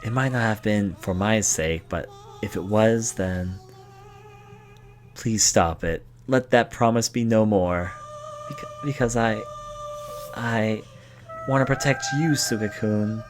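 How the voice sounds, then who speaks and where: A man voicing an earnest, protective young female student in a video game, pleading and halting as he reads the dialogue aloud. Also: fairly low pitch, music in the background.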